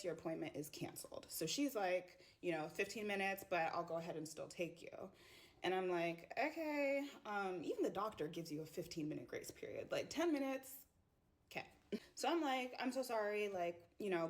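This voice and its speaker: A woman from the United States telling a chatty story time, acting out bits of dialogue. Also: lowish voice. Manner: conversational, acts out dialogue.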